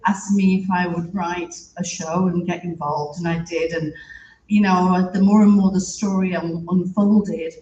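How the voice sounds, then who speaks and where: British man, mellow high voice, halting eulogy, roomy distant sound. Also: level tone, highs cut off, slight background noise. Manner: halting, warm and reflective, with soft laughs. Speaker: eulogy speaker. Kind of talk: heartfelt spoken tribute. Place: theater stage. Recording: echoey room from a distance.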